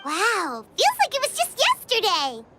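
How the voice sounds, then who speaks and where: A woman voicing a tiny, cheeky, excitable fairy companion with a squeaky childlike voice in an anime game. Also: a little slow, over loud music, exaggerated voice. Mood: chirpy.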